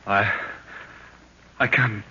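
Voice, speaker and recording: A man's voice: on the low side, fairly even intonation, very slow, sharp tone, husky, full character voice. Suffering, strained young man. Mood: pained, helpless. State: groaning in pain, labored speech. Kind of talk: 1930s radio adventure acting. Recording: muffled, noisy 1930s radio recording.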